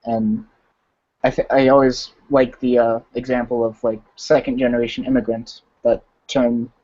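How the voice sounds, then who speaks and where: An eighteen-year-old man with an American accent, sharing personally in a halting, reflective way over a video call. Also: mid-range voice, little pitch movement, mellow. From Arizona, United States. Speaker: discussion participant, college student. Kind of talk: casual personal sharing in online discussion. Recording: dull, lo-fi video-call audio.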